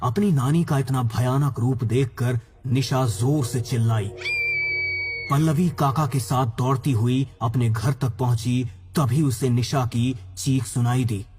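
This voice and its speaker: A man's voice: medium pitch, fairly even intonation, unhurried, darkish tone. Male storyteller for scripted horror narration: middle register, music bed behind the voice. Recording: background music.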